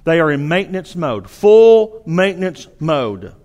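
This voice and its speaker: A man's voice: a little high, big pitch swings, a little slow, a little mellow. A middle-aged man with a Southern accent from Arkansas, preaching a sermon in an earnest, exhorting way, often restarting his sentences.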